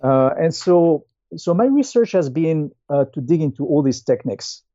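A man in his fifties with a French accent answers interview questions conversationally, in a hesitant, halting way with many pauses. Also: fairly high pitch, expressive, mellow, no echo, muffled recording. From France. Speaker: guest, company founder and CEO.

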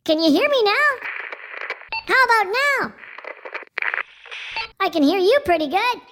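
A man voicing an eager eight-year-old boy with a squeaky, thin voice, calling haltingly through a walkie-talkie. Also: very animated, exaggerated voice. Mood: eager, puzzled. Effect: walkie-talkie radio filter. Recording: noisy crackling two-way radio sound.

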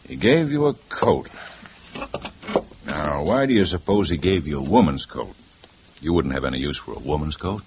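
An actor playing a calm, probing male police lieutenant in a 1950s radio drama, questioning steadily. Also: extremely low pitch, moderate pace, pauses often. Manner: steady, patient questioning with lively swings. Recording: old radio broadcast with faint hiss.

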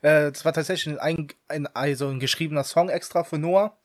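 A man's voice: fairly high pitch, fairly even intonation, fairly quick, no room sound. Chatty young man, dry close sound. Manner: chatty, mixes English slang into his talk, laughs often. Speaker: podcast co-host. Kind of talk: casual chat.

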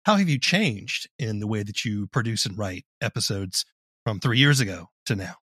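A man's voice: fairly low pitch, expressive, normal speed, a bit bright, breathy edge, no room sound, studio quality. A man acting as a podcast interviewer, putting questions in a conversational way.

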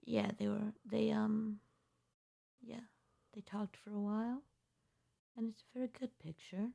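A woman's voice: mid-range voice, level tone, unhurried, gravelly, mellow. A young woman co-hosting a podcast, chatting casually in a rambling, self-deprecating way. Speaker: podcast co-host. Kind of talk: casual chat.

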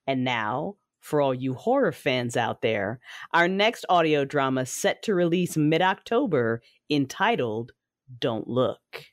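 A woman's voice: rumbling low, sing-song, slow pace, dry recording, studio quality. A woman giving a casual, informal promotional message to listeners as the creator of a show. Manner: informal, chatty.

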